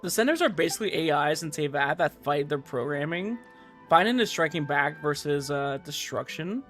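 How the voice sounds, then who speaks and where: A man's voice: a little high, lively intonation, moderate pace, a bit bright. A man streaming a video game, chatting casually and loosely with his viewers in a rambling, repetitive way. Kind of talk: casual livestream chat. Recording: game music in the background.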